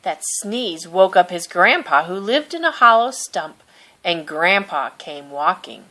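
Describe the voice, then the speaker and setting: A woman reads a picture book aloud for children. Her pace is slow and her melody is lively, with gentle, expressive storytelling and drawn-out words. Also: fairly low pitch. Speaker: storybook reader.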